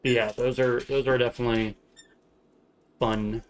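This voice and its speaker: A man's voice: mid-range voice, flat delivery, measured pace, slight background noise. Laid-back Southern US man streaming. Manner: laughs a lot, rambling and loose, swears casually. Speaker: streamer flying a shared-cockpit sim flight. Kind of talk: casual live-stream chat.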